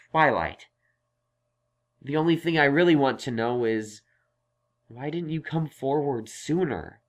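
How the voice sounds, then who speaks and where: A man's voice: on the high side, a bit bright. A man voicing a thoughtful, reassuring young male unicorn scholar in an audio story, explaining things earnestly. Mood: earnest, gentle. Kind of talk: acted audio story dialogue.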